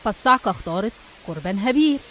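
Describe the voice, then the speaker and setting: A woman's voice: mid-range voice, animated, racing, fairly bright tone, slight background noise. A woman with an Egyptian accent reading scripted religious narration.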